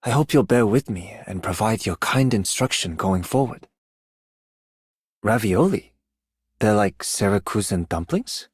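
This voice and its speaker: A man's voice: lowish voice, animated, unhurried, husky, dry recording, studio quality. A man voicing a polite, earnest and curious knight in a video game. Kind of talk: video game character voice acting.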